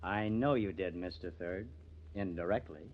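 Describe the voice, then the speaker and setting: An actor in an old-time radio crime drama playing a bitter, sardonic newspaper artist who has turned murderer. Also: male voice, deep voice, normal speed. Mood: sardonic, taunting. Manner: sardonic, menacing. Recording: muffled vintage radio recording.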